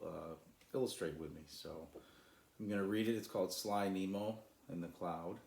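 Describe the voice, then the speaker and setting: A man's voice: low-pitched, vocal fry, darkish tone, roomy sound. A man who is an author, reading and introducing his own children's story as a storyteller. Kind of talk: storybook narration.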